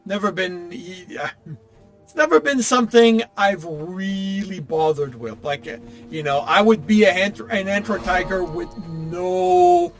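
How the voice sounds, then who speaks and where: Very high-pitched man, halting and rambling. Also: big pitch swings, creaky. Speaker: game streamer. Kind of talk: casual chat while playing a game. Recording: game music faintly behind the voice. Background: faint video game music.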